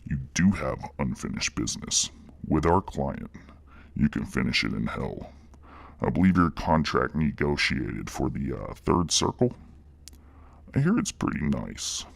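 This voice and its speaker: A man voicing a menacing reptilian demon boss with a deep gravelly growl, speaking in slow, mock-polite, condescending corporate fashion. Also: very animated, dry recording, heavily acted. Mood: smugly menacing.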